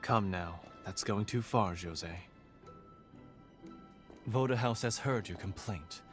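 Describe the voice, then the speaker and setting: A man voicing a measured judicial magistrate in a video game, formal, stern and diplomatic. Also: lowish voice, faint music behind. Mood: measured, official. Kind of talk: scripted video game cutscene acting.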